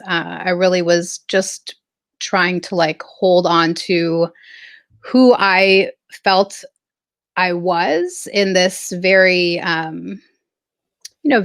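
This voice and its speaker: Woman guest, from southern california, thoughtful and halting, dry close sound. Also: fairly low pitch, a bit bright, no echo. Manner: reflective, halting, many fillers. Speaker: guest artist. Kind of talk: casual interview storytelling.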